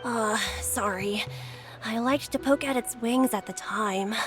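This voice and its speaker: An actress voicing a curious, naive young woman in a game, wondering and slow-spoken. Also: on the high side, over music, natural voice.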